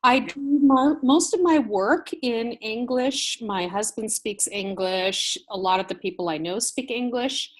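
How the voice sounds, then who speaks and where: A woman's voice: a little high, unhurried, few pauses. An American woman, a gardening blogger, chatting as a guest on an interview and telling stories with light laughs. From United States. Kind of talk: casual interview chat.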